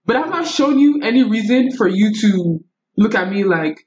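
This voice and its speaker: A young woman telling stories in casual, slangy, animated talk with Nigerian turns of phrase. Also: mid-range voice, normal speed, lo-fi sound. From United States. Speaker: podcast guest. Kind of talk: casual conversational storytelling.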